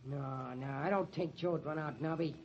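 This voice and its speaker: A man's voice: high-pitched, lively intonation, moderate pace, creaky. A man playing a simple, loyal, emotional newspaper seller, plaintive and repetitive, in an old-time radio drama. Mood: doubtful, sad. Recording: muffled, noisy old radio recording.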